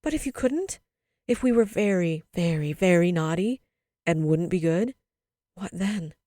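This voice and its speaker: A woman's voice: fairly low pitch, lively intonation, measured pace, dark tone, no echo, clean studio sound. A woman voicing a tearful little girl in a storybook, pleading in an insistent, emotional, childlike way. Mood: insistent, distressed.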